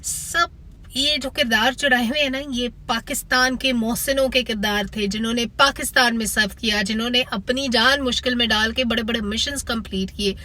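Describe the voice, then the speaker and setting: Bright, higher-pitched Pakistani woman reviewing. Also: fairly even intonation, normal speed. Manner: critical, opinionated. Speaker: film reviewer. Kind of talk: spoken opinion review to viewers.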